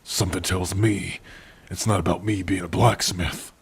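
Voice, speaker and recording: A man playing a gentle, protective orc blacksmith in an ASMR roleplay, speaking tenderly and without hurry to a beloved listener. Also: low voice, steady tone, exaggerated voice. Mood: protective, resolute. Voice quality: husky. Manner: unhurried, tender, with soft sighs and hums. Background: rain.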